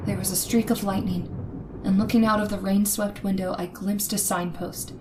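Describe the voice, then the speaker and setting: A frightened young woman played by an actress in an old-time radio horror play. She speaks at a middle pitch with dramatic suspense, as someone trapped and afraid. Clear noise and ambience sit behind her voice. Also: level tone, lightly acted. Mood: tense, alarmed. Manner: breathy dramatic suspense, hushed and halting when afraid. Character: frightened young woman held captive by a killer, recounting it.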